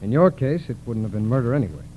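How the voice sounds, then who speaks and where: An actor playing a courteous, methodical FBI agent in a 1940s radio drama, businesslike and in command. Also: male voice, medium pitch, very animated, fast talker, warm tone, close to an everyday voice, rough recording. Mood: calm, explanatory. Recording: hissy vintage radio drama recording.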